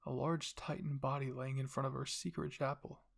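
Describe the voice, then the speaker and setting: A man's voice: medium pitch, flat delivery, normal speed, vocal fry. A man voicing a cold, scheming royal father who commands and explains without remorse in an anime fan fiction reading. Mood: recounting.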